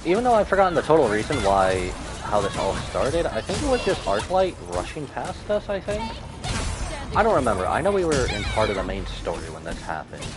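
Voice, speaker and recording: A man's voice: mid-range voice, big pitch swings, brisk. A man streaming a video game, commenting casually and musing aloud with some self-deprecation. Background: game music.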